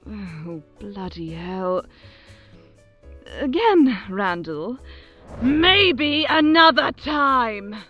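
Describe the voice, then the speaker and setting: A woman's voice: a little high, sing-song, drawn-out words, lo-fi sound, faint music behind, heavily acted. A woman playing a regal, self-adoring overlord who hosts her own broadcast in a theatrical, condescending, grandly gracious way in an audio drama. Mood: flustered, appalled. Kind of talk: audio drama acting as a radio show host.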